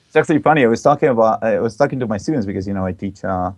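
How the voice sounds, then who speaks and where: Low-voiced, chatty Belgian-born man. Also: expressive, rapid-fire, darkish tone, no room sound. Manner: enthusiastic, chatty, many fillers. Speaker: guest. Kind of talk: casual interview chat. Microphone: online call mic.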